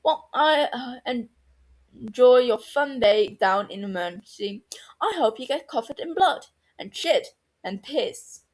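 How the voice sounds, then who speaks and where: A woman's voice: on the high side, animated, slow, voice pushed up. A woman voicing a sardonic young male doctor who talks in a dry, sarcastic way.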